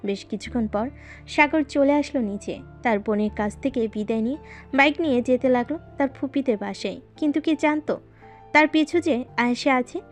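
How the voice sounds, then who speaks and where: A woman's voice: sits high, normal speed, faint music behind. A woman working as a narrator, reading a scripted story.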